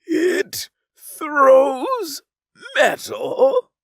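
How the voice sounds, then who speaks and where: A man voicing a pompous, arrogant male alien with a grandiose, drawn-out, mocking manner. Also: high voice, very animated, gravelly, dry recording, clean studio sound, pitched above the performer's own voice, exaggerated voice.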